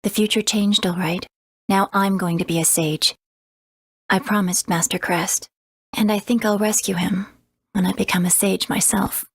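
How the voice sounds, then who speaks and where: An actress playing an earnest, wistful young girl apprentice in a video game, with a soft, breathy voice. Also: mid-range voice, fairly even intonation, normal speed, dry recording, clean studio sound. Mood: resolved.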